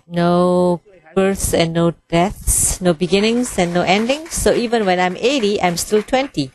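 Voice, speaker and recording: Low-voiced woman interpreting a sermon. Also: a little mellow, low-quality recording. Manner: measured, phrase-by-phrase rendering.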